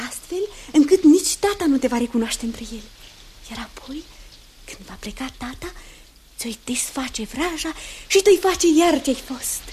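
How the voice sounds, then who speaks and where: An actress playing a tender young enchantress, a sorcerer's daughter, in 1960s radio theatre, with breathy, dramatic delivery. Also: female voice, sits high, darkish tone. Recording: faintly noisy 1960s radio recording.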